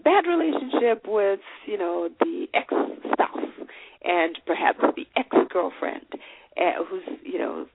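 Woman from Zimbabwe, talk show host, earnest chatty delivery, animated pitch, narrow phone-band audio with faint hiss. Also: slow pace, gravelly. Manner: earnest, conversational, with many fillers. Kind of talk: solo advice talk. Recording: phone-like line with faint noise.

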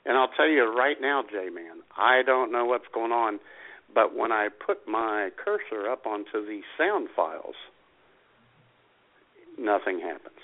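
Gravelly middle-aged man with swooping pitch. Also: big pitch swings, measured pace. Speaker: comedy radio show co-host. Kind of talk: casual comedic banter. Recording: tinny, phone-like band-limited audio.

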